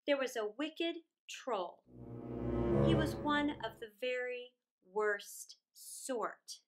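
A middle-aged woman reading a children's story aloud in a theatrical, deliberate way, drawing out words with dramatic pauses. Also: sits high, very noisy. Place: small indoor room against a plain wall.

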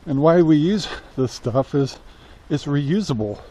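A man's voice: on the high side, lively intonation, measured pace, mellow, a little hiss or hum. A man in his sixties casually talking to a handheld camera in his yard and greenhouse, rambling with lots of fillers. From United States. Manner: easygoing, rambling with many "uh" fillers. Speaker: homesteading vlogger. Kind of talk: casual how-to vlog. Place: outdoors in a yard and inside a greenhouse. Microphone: camera held at arm's length.

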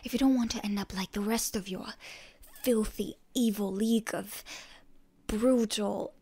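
A proud young heroine, acted by a woman, defiant toward her enemy in an intimate roleplay ASMR. Her voice is breathy and husky, often dropping toward a whisper. She takes her time over her words, full of stubborn, indignant bluster. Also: medium pitch, steady tone, dark tone. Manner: indignant, halting, tsundere bluster.

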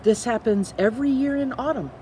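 A woman's voice: a little high, a little fast, mellow, a little hiss or hum. A middle-aged woman narrating a children's story time as a ranger, putting questions to her listeners. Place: outdoors at a log cabin in woods.

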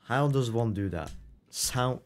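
A man's voice: medium pitch, expressive, slow pace, a little hiss or hum. A young man streaming a game live, reading chat aloud and answering it with incredulous, laughing banter.

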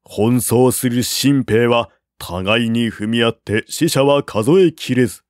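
A man narrating a classical war novel as an audiobook, in a measured, even storytelling manner. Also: medium pitch, dry recording, studio quality.

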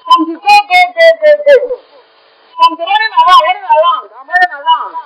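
A woman's voice: extremely high pitch, brisk, fairly bright tone, poor audio. A woman, a religious sister, preaching a retreat sermon in a church, emphatic and repeating words for effect. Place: church sanctuary by the altar.

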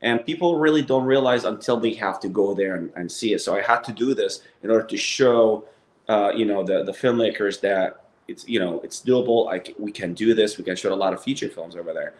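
Egyptian-accented man in an echoey room. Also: on the low side. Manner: halting, many fillers and restarts. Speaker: actor and producer guest. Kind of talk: casual interview on a video call. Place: living room on a couch. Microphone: laptop webcam on a video call.

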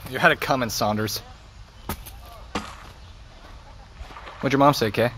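A man's voice: mid-range voice, quick pace, pushed and bright, slight background noise, close to an everyday voice. An actor playing a bitter, defiant seventeen-year-old boy in a naturalistic audio drama. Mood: wry. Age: teenager. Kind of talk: naturalistic audio fiction acting.

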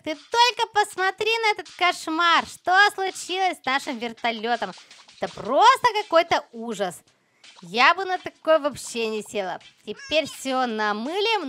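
A woman's voice: sits high, sharp tone. A woman hosting a children's game play-along, chatty and exclamatory, talking directly to young viewers. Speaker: host of a children's gaming channel.

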